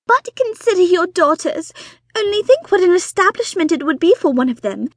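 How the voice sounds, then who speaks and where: A woman putting on a shrill, gushing matchmaking mother. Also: sing-song, normal speed, dry recording, studio quality, full character voice. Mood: excited, gushing. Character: excitable fussy middle-aged mother, matchmaking wife.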